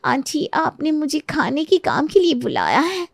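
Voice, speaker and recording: Entitled young wife for cartoon fable: high voice, lazy, breezy, complaining manner. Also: female voice, huge pitch swings, measured pace, gravelly, no echo, clean studio sound, heavily acted. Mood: agreeable. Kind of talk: Hindi animated moral story acting.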